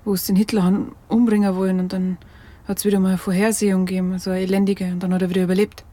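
A woman's voice: mid-range voice, flat delivery, racing, warm tone, natural voice. An actress playing a blunt, plain-spoken woman in colloquial radio drama dialogue. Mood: sardonic, curious.

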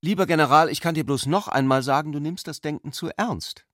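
A man's voice: on the high side, a little fast, fairly bright tone, no echo, clean studio sound. A man reading literary prose and its dialogue as the narrator of a radio play.

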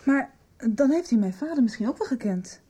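An earnest young daughter in a radio soap. Her voice is fairly high yet dark and husky. She speaks in a searching, serious way. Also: lively intonation, a little fast. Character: young woman, earnest daughter seeking truth about her father. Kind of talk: radio drama dialogue.

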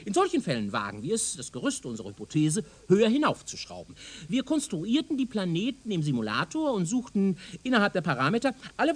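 Radio drama professor: high voice, hugely animated intonation, pedantic, zealous, insistent lecturer. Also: male voice, husky. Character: zealous, self-assured male professor of speculative science. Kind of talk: German radio drama acting.

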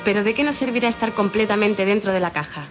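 A woman's voice: medium pitch, quick pace, lightly acted. An actress playing a defiant young woman in a radio play, speaking. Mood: determined. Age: young adult. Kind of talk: Spanish radio theatre acting. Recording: music behind the voice.

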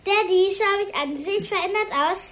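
A very high, childlike voice plays a cheeky little daughter in a radio drama. She is eager and playful, with a singsong delivery. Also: normal speed, a bit bright, slight background noise. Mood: eager, excited. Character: lively, playful little girl, the couple's daughter. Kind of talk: German radio play acting.

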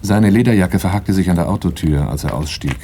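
An actor playing a wary, plain-spoken young bodyguard in a German radio play, both in dialogue and as narrator. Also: male voice, low-pitched, a little fast, mellow. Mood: reflective narrating. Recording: faint noise, 1980s radio play.

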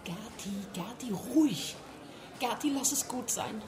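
A woman's voice: a little high, animated, a little slow, over music. An actress playing an anxious young woman in radio play dialogue. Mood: hushed, urgent. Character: anxious young woman soothing a friend in a tavern.

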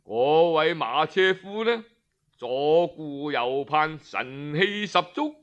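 A male Cantonese storyteller with a fairly high, bright and forceful voice. He tells folk tales at a slow pace, drawing out his phrases in an expressive, colloquial audiobook style. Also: highs cut off.

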